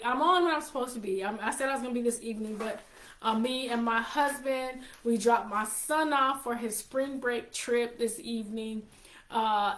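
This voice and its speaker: A woman's voice: fairly high pitch, a bit bright. A woman in her forties hosting her own show in a casual, chatty way, congested with a slight cough and often repeating herself. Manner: chatty, repeats and restarts phrases. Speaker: host. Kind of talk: casual live-show chat. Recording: roomy echo.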